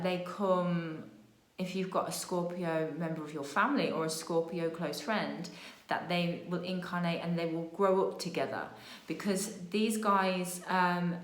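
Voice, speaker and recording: A woman's voice: on the low side, reverberant, rough recording. A woman who is losing her voice, chatting casually about spirit babies, rambling with fillers, restarts and "yeah?" tags. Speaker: spiritual channeler. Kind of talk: casual spiritual vlog talk.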